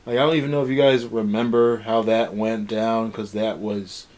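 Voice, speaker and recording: A man's voice: fairly low pitch, steady tone, moderate pace, a little mellow. Young man ranting casually, exasperated. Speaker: review show host. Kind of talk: casual rant-style episode review chat.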